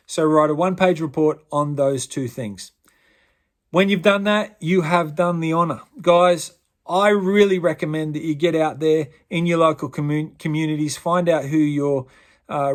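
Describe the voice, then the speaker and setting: A male pastor guides young people through a worksheet. His voice sits a little high for a man, and his delivery is casual and rambling. He speaks as if to a familiar group. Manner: relaxed, conversational, with fillers and restarts. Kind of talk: instructional talk explaining an honour's requirements.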